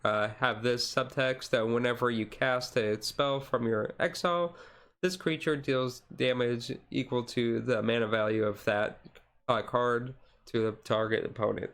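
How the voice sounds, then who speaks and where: A man streaming casual, chill card game commentary in a halting, rambling way with frequent asides. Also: mid-range voice, fairly even intonation, fairly bright tone. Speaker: streamer host.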